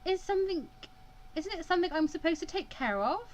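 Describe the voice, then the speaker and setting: A woman's voice: extremely high pitch, lively intonation, a little fast, a little hiss or hum, voice pushed up. A woman voicing an anxious, easily panicked young student mediator in a visual novel, stammering and exclaiming.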